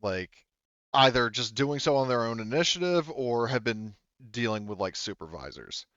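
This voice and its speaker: A man acting as game master, improvising explanations in a halting way and often circling back to rephrase himself. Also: mid-range voice, animated, a bit bright, no echo, lo-fi sound. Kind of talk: improvised narration for a tabletop roleplaying game.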